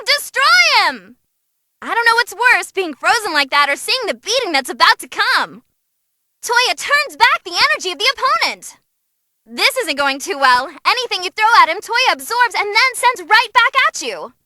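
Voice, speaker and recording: A woman's voice: extremely high pitch, pushed and bright, no room sound, heavily acted. Sassy hyper girl ring announcer for a game, swoops all over, super dry close sound, wisecracking fight calls. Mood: gleeful, punchy. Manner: theatrical, exclamatory, wisecracking. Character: excitable young female tournament ring announcer, sassy and cheeky. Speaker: match announcer. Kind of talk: animated fight commentary, game voice clips.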